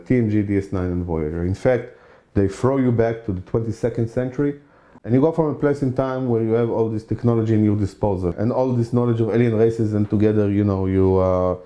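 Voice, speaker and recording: A man's voice: fairly low pitch, dark tone. A man from Israel, around forty, with an Israeli accent, hosting his own channel and talking in a loose, chatty way, with lots of "you know" and repeated points for emphasis. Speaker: channel host. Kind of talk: casual opinion monologue.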